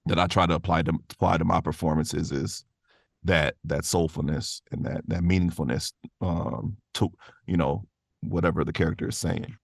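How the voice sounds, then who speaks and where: A man's voice: deep voice, fairly even intonation, normal speed, vocal fry, no room sound, studio quality. A man who is an interview guest and voice actor, chatting casually in an earnest, enthusiastic way with laid-back slangy phrasing. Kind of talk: casual conversation.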